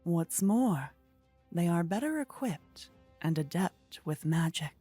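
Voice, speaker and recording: Female narrator for fantasy ASMR storytelling, lowish pitch, unhurried delivery, light music bed. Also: lively intonation, mellow, slightly rough.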